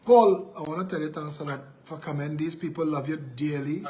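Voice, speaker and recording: A man's voice: fairly high pitch, fairly quick, mellow. A man with a Trinidadian accent hosting a political live-stream, speaking emphatically and repeating phrases for effect. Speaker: live show host.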